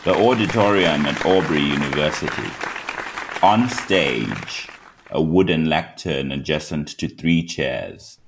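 A man's voice: very deep voice, lively intonation, a little slow, full character voice. A man narrating stage directions for a radio play in a sober scripted style, over crowd noise. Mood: neutral. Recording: crowd noise and clear background noise.

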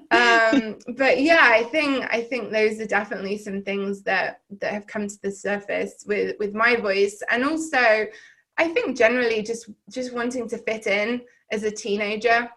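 A woman's voice: fairly high pitch, measured pace, a bit bright. A woman from Wales with a Southern English accent, telling personal stories as a podcast guest in a reflective, rambling way with frequent fillers and restarts. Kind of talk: candid personal storytelling in conversation.

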